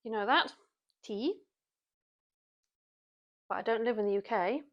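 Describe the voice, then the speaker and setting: A woman's voice: fairly high pitch, unhurried, highs cut off. Chatty British woman in her forties. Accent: British English. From Britain. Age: 40s. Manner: chatty, self-mocking, wry asides. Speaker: vlogger. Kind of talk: casual chatty monologue to camera.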